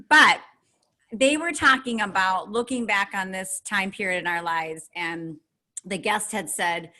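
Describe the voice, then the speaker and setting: Podcast co-host: a woman in her forties with a bright, forceful tone and a middle-pitched voice. She talks casually and chattily, with an upbeat, self-teasing manner. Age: 40s.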